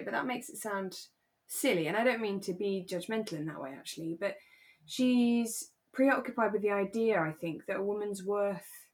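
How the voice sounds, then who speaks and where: A young British woman co-hosting a podcast in relaxed casual discussion, thinking aloud and qualifying what she says as she goes. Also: mid-range voice. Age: young adult. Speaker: podcast co-host.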